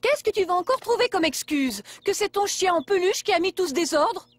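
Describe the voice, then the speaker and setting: A woman's voice: very high-pitched, expressive, fairly quick, dry recording. A woman voicing a stern, exasperated mother in a French children's cartoon, theatrical and scolding.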